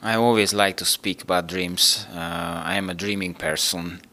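Deep guy, slow and halting, bright clear voice, lots of uh's. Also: steady tone. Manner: hesitant, many fillers and restarts. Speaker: interview guest, team manager. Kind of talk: casual interview talk.

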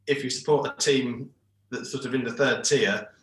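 A man's voice: mid-range voice, steady tone, normal speed. A man in his forties from England, a shirt designer talking as a guest over a video call in a rambling, hesitant way with many restarts. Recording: echoey room over internet call.

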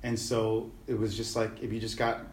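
A man's voice: fairly low pitch, flat delivery, normal speed, roomy sound, slight background noise. A middle-aged man, a musician guest talking casually in an easygoing, rambling way with slang and fillers. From New York City. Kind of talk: casual conversational interview.

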